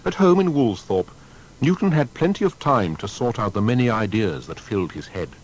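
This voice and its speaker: A man's voice: very animated, normal speed, faint music behind, rough recording. A man reading scripted documentary narration in an articulate way with emphatic phrasing. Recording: faint noise and low rumble.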